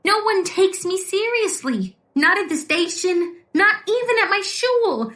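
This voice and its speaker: A woman's voice: very high-pitched, expressive, a bit bright, full character voice. A woman playing an eager, awkward aspiring policewoman, bantering in a comic audio drama. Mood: earnest, exasperated. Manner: dry, fast comic banter, self-important.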